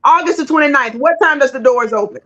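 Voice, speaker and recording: High-voiced, sassy woman hosting a chat. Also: quick pace, dull audio. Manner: folksy, sassy, animated banter. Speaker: show host interviewing guests. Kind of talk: casual livestream interview chat.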